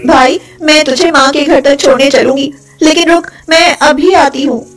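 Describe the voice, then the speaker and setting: Over background music, a high-pitched, girlish young sister speaks as a woman storyteller plays her, sing-song and earnest. She is an innocent, devoted folk-tale village woman, eager and a little anxious. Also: steady tone, moderate pace, lightly acted. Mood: insistent.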